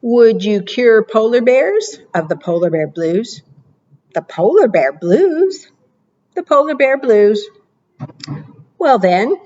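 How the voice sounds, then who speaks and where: A woman librarian reading picture books aloud to preschoolers, with expressive, animated delivery, asking the children questions and praising their answers. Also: muffled recording. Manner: expressive, animated read-aloud with playful pitch jumps.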